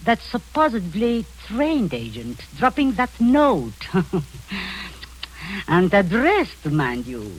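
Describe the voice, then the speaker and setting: A falsely sweet older spy matron. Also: female voice, fairly low pitch, slightly rough, heavily acted, low-quality recording. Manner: sugary and condescending, turning cold and commanding. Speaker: villainous spy posing as an aunt. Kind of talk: 1940s radio acting.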